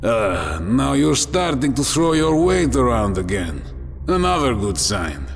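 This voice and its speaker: An actor playing a wounded, loyal transhuman warrior in a dark sci-fi audio drama, measured, sardonic and weary. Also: male voice, medium pitch, very animated, gravelly, a little hiss or hum. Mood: wry, weary. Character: wounded, wry, loyal transhuman warrior, male, bitterly proud. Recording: long hall reverb over music.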